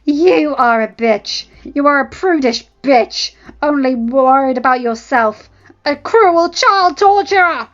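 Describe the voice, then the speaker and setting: A woman's voice: high-pitched, measured pace, highs cut off, voice pushed up. A woman voicing a proud, defiant young noblewoman who answers her rival with cold, cutting retorts.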